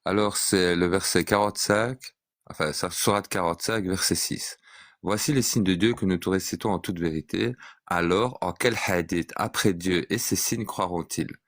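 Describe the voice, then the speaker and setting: A man in an online debate, speaking calmly and methodically and reciting verses to make his point. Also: deep voice, moderate pace, no room sound, studio quality. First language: French speaker.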